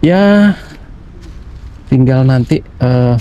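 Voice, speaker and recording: A man's voice: medium pitch, lively intonation, very slow, mellow, clear, resonant tone. Chatty Javanese-accented man touring a yard. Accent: Javanese Indonesian. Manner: chatty, addresses viewers as Mas Bro. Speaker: channel host. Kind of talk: casual walkaround car review. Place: outdoor auction vehicle yard. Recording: faint outdoor background noise.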